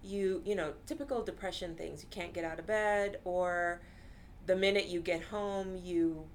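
A woman's voice: on the low side, moderate pace. Woman, therapist, earnest casual talk, native Urdu speaker, slight background hiss. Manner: earnest, frequent "you know" fillers.

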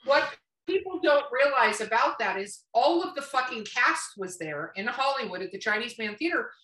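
A woman's voice: fairly high pitch, expressive, normal speed, fairly bright tone, recorded from a distance. Woman, echoey and off the mic, casual podcast banter, blunt, teasing, foul-mouthed. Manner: blunt, heavily profane, teasing. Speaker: podcast co-host.

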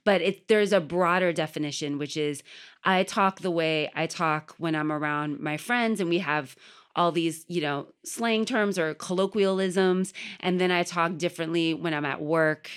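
A woman's voice: on the low side, normal speed, smooth. A woman journalist, a podcast guest, explaining things in an affirming, conversational way. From Puerto Rican heritage.

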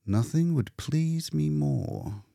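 A man's voice: on the high side, animated, slow, vocal fry, dark tone, no room sound, studio quality, pitched above the performer's own voice, exaggerated voice. A man voicing a sardonic painter in a storybook reading, with a wry, mock-polite, needling manner. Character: sardonic, bleakly mocking male painter.

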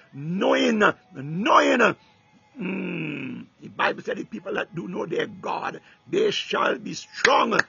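Fervent preacher, gravelly and husky. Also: male voice, high voice, bright, forceful tone, no echo. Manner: fervent, repeats "my God" between phrases; breaks into tongues. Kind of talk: sermon with prayer. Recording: dull lo-fi recording.

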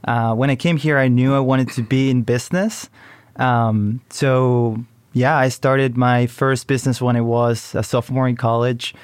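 Young man from Chile with a smooth, steady voice. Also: mid-range voice, normal speed, no echo. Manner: relaxed, lots of uh and um fillers. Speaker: guest, company founder. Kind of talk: conversational interview.

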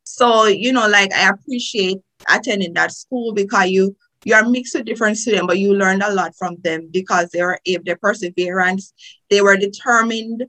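Bright-voiced Jamaican woman, chatty. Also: mid-range voice, moderate pace, low-quality recording. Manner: chatty storytelling, many fillers. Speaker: guest, tax lawyer. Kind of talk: podcast interview.

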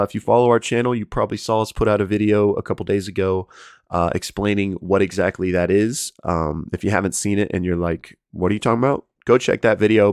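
A man's voice: fairly low pitch, a little mellow, no echo, studio quality. A young man co-hosting a fan podcast, recapping an episode in a laid-back, filler-heavy way while getting over a cold. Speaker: podcast co-host. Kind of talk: casual chat and episode recap.

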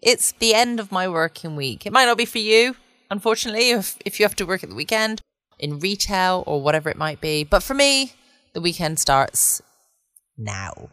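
A woman's voice: mid-range voice, very animated, moderate pace, fairly bright tone, no echo. A woman chatting casually and ramblingly as the host of a late-night podcast.

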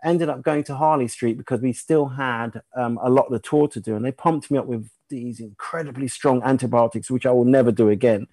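A middle-aged British man tells stories in a casual, conversational style. His delivery is animated, as befits a singer used to performing. The sound is dry, with almost no room on it. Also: mid-range voice, a little fast, few pauses, no echo. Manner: animated, acts out sounds and remembered dialogue. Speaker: singer, interview guest.

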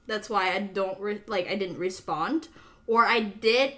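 A woman's voice: medium pitch, unhurried, pushed and bright. A woman who speaks English as a second language, hosting a casual, chatty podcast in an informal manner. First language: not English. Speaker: podcast host.

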